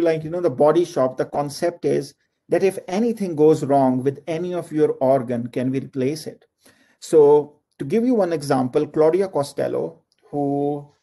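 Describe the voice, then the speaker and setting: A man's voice: mid-range voice, mellow. A middle-aged man from Pakistan giving an online lecture from home over a video call, chatty and full of "like, you know" fillers. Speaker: university lecturer.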